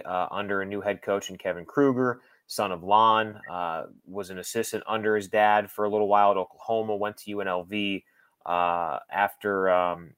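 A low-pitched man speaks as a guest sports analyst in a close, dry recording. His delivery is conversational and halting, doubling back on phrases as he thinks aloud. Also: a bit bright, no echo.

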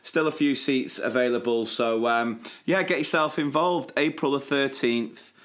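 Relaxed man plugging a show. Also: medium pitch, measured pace. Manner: relaxed, promotional plugs. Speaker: podcast co-host. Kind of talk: casual chat.

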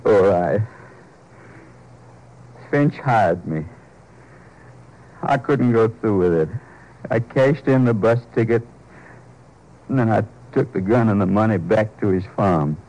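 An actor playing a bitter, defeated ex-convict with an American accent and a gruff working-class drawl, in a 1950s radio drama. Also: male voice, on the low side, slow pace, pauses often, warm tone, low-quality recording. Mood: resigned. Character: bitter, defeated Texas ex-convict, defensive, loves his wife. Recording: old 1950s radio broadcast recording.

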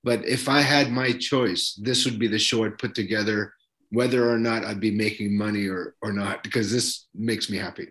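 A stand-up comedian with a Filipino accent, chatting casually and dropping playful jokes. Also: male voice, fairly low pitch, fairly even intonation, moderate pace, a bit bright. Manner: joking, playful asides. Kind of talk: casual chat.

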